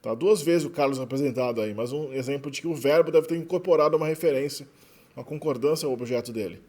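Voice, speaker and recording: A man with a Brazilian Portuguese accent giving an explanatory linguistics lecture in a relaxed way, often adding tag questions and correcting himself. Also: medium pitch, fast talker.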